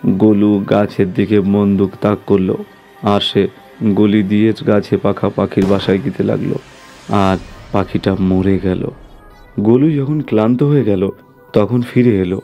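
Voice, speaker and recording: A man's voice: fairly low pitch, fairly even intonation, unhurried, mellow, soft background music. A man narrating a scripted horror story as the storyteller.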